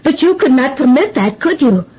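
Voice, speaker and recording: An actress playing a bitter young daughter returned from the grave, declaiming in an anguished, accusing, theatrical radio drama style. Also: female voice, sits high, sing-song, normal speed. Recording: muffled old radio drama broadcast.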